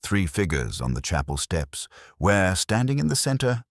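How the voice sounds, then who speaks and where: A man playing a melancholy police detective, narrating a mystery in a measured, literary, reflective way. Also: sits low, very animated, dry recording, studio quality, full character voice. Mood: wistful. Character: brooding, melancholy male police detective narrating his case. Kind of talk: scripted first-person mystery narration.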